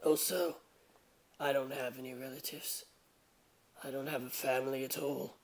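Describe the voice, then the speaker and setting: A man voicing an aloof, bookish teenage boy in an audiobook, speaking softly in a detached deadpan. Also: medium pitch, moderate pace, gravelly. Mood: flat, casual. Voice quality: hushed, soft.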